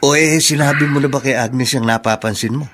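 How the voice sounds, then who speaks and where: A man's voice: medium pitch, a little fast, noisy background, natural voice. An actor playing a teasing, nosy male buddy in a radio drama, talking in a slangy, chuckling way. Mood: teasing, prying.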